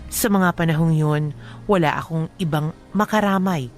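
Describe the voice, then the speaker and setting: An actress voices a married Filipino woman recounting her love story on radio. Her voice is notably low, with a measured, heartfelt and wistful delivery over faint music. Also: close to an everyday voice. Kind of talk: dramatized letter narration for radio.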